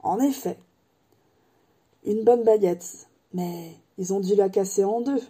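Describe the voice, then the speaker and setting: A woman voicing a big, gruff gamekeeper man in an audiobook, answering in a hurried, uneasy way. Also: medium pitch, warm tone. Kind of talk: audiobook character voice.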